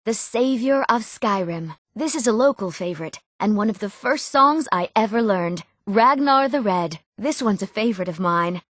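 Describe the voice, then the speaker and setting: A woman's voice: mid-range voice, expressive, normal speed, few pauses, a bit bright, no echo. Eager young fantasy townswoman, mid-range voice, earnest game dialogue, recorded dry. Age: young adult.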